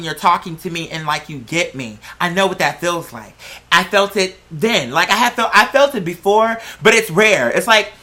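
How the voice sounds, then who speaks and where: A man telling personal stories as a host in a casual, sassy, dramatic way, with animated emphasis and lots of slang and swearing. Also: sits high. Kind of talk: casual chat, personal storytelling.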